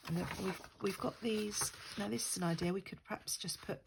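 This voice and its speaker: A woman's voice: medium pitch, a little fast, gravelly, background noise. An older woman chatting casually while crafting, stuffy and congested from a lingering cold, rambling and self-deprecating. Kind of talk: casual crafting tutorial chat. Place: indoors at a craft table. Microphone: overhead camera above craft mat.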